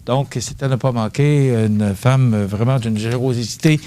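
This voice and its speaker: A man hosting a local TV interview, talking in a halting way with many restarts. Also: medium pitch, warm tone. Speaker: TV host.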